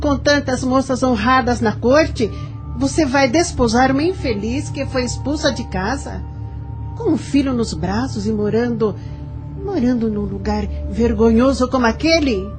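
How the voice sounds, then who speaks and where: Actress doing a snobby indignant grandma in a radio play, high voice, music underneath. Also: female voice, measured pace, close to an everyday voice. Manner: indignant, haughty. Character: haughty, disapproving high-society mother and grandmother. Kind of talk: audio drama acting.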